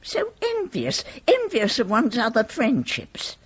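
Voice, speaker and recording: A woman's voice: on the high side, huge pitch swings, slow pace, slightly rough, exaggerated voice. An actress playing a genteel, girlish, effusive woman of eighty-five with a British accent in a radio play. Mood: wistful, confiding. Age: 80s. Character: frail, genteel, giddy eighty-five-year-old widowed lady. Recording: dull lo-fi radio recording.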